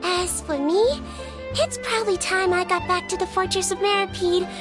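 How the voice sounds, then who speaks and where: A woman voicing a small, gentle, nonhuman nurse in a video game, youthful, polite and matter-of-fact. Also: very high voice, normal speed. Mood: calm, tactful. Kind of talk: video game character dialogue. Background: background music.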